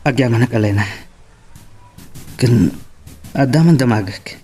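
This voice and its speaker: A man's voice: medium pitch, measured pace, dark tone, husky. Man acting a sorrowful Ilocano young father. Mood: apologetic, yearning. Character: sorrowful young father longing for his child. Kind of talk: Ilocano radio-style love drama acting.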